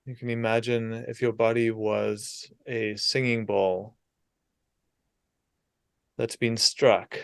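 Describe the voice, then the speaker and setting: A man guides a meditation in a fairly low, smooth voice. His delivery is soothing and very unhurried, placing short phrases between long pauses. The voice is clean and resonant throughout. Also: level tone, no echo, studio quality.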